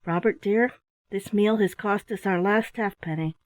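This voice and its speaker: A woman voicing an old, frail mother who speaks in a soft, quiet, resigned way in a storybook reading. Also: medium pitch, normal speed, no echo, lightly acted. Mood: gentle.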